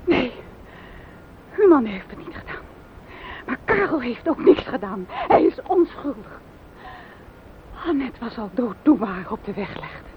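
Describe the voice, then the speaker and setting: An actress playing a flustered, evasive sister-in-law who stammers defensively in a radio play. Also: female voice, sits high, sing-song, unhurried, long pauses. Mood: distraught, pleading. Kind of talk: Dutch radio play acting. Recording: tinny, narrow-band noisy old radio recording.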